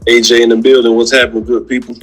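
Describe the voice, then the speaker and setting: A man's voice: medium pitch, little pitch movement, racing, a bit bright, soft background music. A man co-hosting a reaction show, chiming in with brief, slangy, casual remarks.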